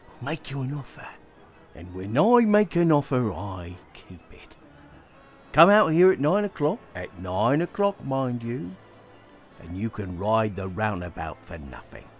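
A man's voice: mid-range voice, big pitch swings, a little slow, faint music behind, voice pushed up. A man voicing a sinister, wheedling fairground showman who coaxes children with a mocking sing-song.

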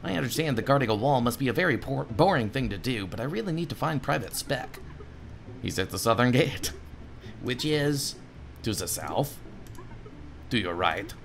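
A man voicing an exasperated, sarcastic adventurer in a game, in an excitable, theatrical manner. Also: mid-range voice, normal speed, vocal fry, long pauses, slight background noise. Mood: impatient. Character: exasperated, sarcastic male adventurer and new homeowner.

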